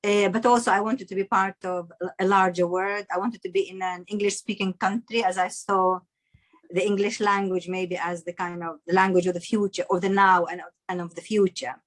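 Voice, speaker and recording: A woman on a panel speaking in a reflective, thoughtful way, with pauses. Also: mid-range voice, flat delivery. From Palestine, raised in Russia. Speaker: panelist, filmmaker. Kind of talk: panel discussion.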